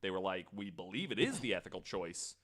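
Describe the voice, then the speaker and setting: A man presenting a researched story on a podcast in a casual, halting way, with informal asides. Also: sits low, very animated, a bit bright. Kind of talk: casual explanatory podcast talk.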